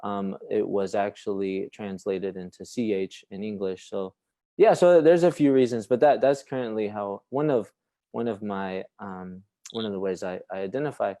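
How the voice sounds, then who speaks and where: A man with a low, mellow voice speaks on a panel, halting and restarting as he thinks aloud. The sound is dry and close, with no room around it. He comes through a video call. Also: no room sound. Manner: halting, thoughtful, searching for words. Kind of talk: panel discussion.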